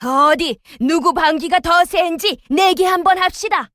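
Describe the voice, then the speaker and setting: A woman's voice: extremely high pitch, unhurried, a bit bright. A woman voicing a brash, challenging middle-aged village woman in a children's folktale. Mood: defiant. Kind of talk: children's folktale character acting.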